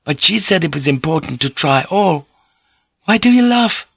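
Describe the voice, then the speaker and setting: Man as a deferential woman, high-pitched, gentle and coaxing, very polite, lively intonation, audiobook character. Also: moderate pace, creaky, husky, dry recording, studio quality, full character voice. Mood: eager, coaxing. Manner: polite, apologetic, careful foreign-sounding English.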